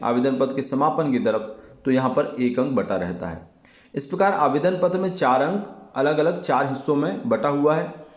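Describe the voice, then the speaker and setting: Higher-pitched male teacher in echoey room. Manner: deliberate, explanatory, repeats key phrases. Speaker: Hindi teacher. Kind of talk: instructional exam lesson.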